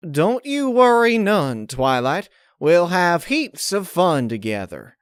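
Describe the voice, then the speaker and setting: Male reader as female farm pony, raised pitch, folksy, nervous, evasive, stammering, story reading. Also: very animated, takes it slowly, smooth, no room sound, clean studio sound, heavily acted. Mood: folksy reassurance.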